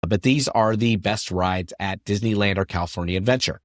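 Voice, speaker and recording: A middle-aged man hosting his podcast in an upbeat, chatty way, his voice deepened by a lingering cough after an illness. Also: a little fast, no room sound, studio quality. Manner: upbeat, chatty, repetitive phrasing. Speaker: podcast host. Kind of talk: solo podcast talk.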